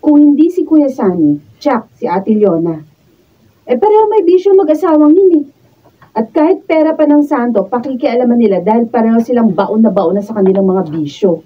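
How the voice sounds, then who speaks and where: Casting: dutiful young woman, high-voiced and expressive, radio drama, recorded from a distance. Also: fairly quick, husky. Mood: alarmed, suspicious. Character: Venus, earnest young office worker, dutiful but questioning daughter. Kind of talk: Filipino radio drama acting. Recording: distant, dull raw studio video sound.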